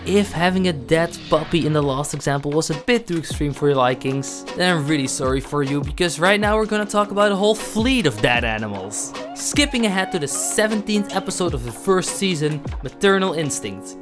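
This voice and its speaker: A man delivers scripted explainer narration as a guest on a video essay. Music is clearly heard behind him. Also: fairly high pitch, lively intonation, brisk, hardly any pauses.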